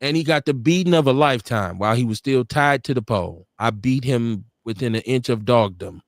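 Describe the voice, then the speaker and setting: A man's voice: mid-range voice, a little fast, no room sound, studio quality. A man co-hosting a casual live podcast, rambling through stories with frequent self-corrections and laughs. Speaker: podcast co-host. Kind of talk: casual chat. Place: home studio, livestream.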